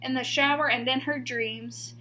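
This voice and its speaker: A woman teacher reading a children's picture book aloud expressively, with deliberate, emphatic pauses on key words. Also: high-pitched, fairly even intonation, sharp tone, slight background noise.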